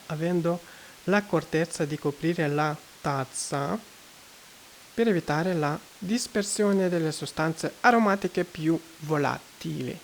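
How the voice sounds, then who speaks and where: Slow, low-voiced woman testing microphones. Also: darkish tone, a little hiss or hum, poor audio. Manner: hesitant, with many fillers and self-corrections; reads aloud haltingly from a book. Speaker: gear reviewer testing microphones. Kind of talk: casual mic test vlog. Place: indoor room. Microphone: various test microphones, condenser among them.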